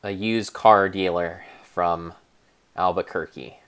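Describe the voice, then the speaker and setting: Deep, slow deadpan male storyteller. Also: smooth. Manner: deadpan storyteller, halting restarts and self-corrections. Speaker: streamer narrating a game. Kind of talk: mock-dramatic improvised narration.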